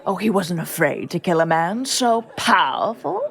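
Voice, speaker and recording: A woman playing a curious, easily shocked foreign visitor in a conversational, comedic audio drama, with a playful, expressive manner. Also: on the low side, normal speed, gravelly, some chatter behind, full character voice. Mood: curious, amused.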